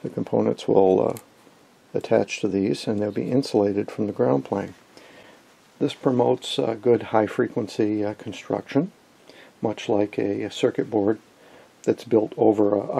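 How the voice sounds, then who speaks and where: A man giving an informal hands-on electronics tutorial in a gravelly, slightly husky voice, posing questions and answering them. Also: fairly low pitch, warm tone. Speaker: electronics hobbyist presenter.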